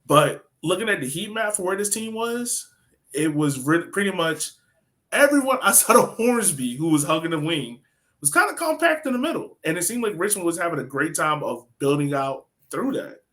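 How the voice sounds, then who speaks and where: Male American podcast co-host, bright voice a touch high, slangy and chatty, casual match talk. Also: big pitch swings, moderate pace. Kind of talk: casual soccer match breakdown.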